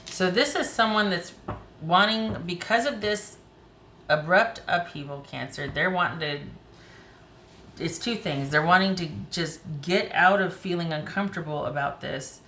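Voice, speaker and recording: Low-voiced woman doing a tarot reading, a bit hoarse, talks to herself over the cards, echoey room with faint hiss. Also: unhurried, bright, forceful tone. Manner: thinks aloud while pulling cards. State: slightly hoarse. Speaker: tarot reader. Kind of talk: casual tarot reading to camera.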